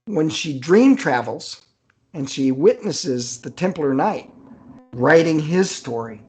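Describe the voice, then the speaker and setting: A man's voice: a little high, animated, takes it slowly, darkish tone, husky, faint music behind. A man speaking as an author guest in a casual interview.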